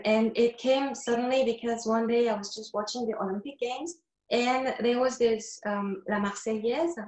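A woman's voice: a little high, a little mellow. A middle-aged woman from Korea, a flutist talking on a panel over a video call in an earnest way, searching for words between many fillers. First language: not English. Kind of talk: casual panel talk. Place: wood-panelled room indoors. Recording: echoey room on a video call.

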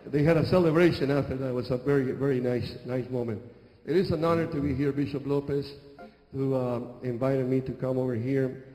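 A middle-aged man from Argentina, a native Spanish speaker with an Argentine accent, preaching a sermon as a bishop. He talks into a handheld mic, telling humorous stories with halting restarts and many fillers. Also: mid-range voice, fairly even intonation, mellow. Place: large church sanctuary on a stage. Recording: echoey church hall with music.

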